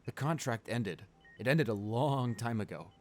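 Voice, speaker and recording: A man performs a rich, devoted client keeping vigil beside his comatose lover in an ASMR roleplay. His mid-range voice is intimate and emotional, pleading in halting phrases. Background noise sits under the words. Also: medium pitch, animated, a little mellow, natural voice. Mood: wistful, tender. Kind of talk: ASMR boyfriend roleplay, dramatic monologue.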